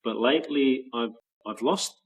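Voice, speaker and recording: A man around forty with an Australian accent, chatting as a guest on a video call in a relaxed, rambling way with frequent restarts. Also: mid-range voice, a little slow, husky, rough recording. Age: 40s. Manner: relaxed, rambling with many restarts and soft laughs. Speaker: guest, patent office trainer. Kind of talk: casual interview chat.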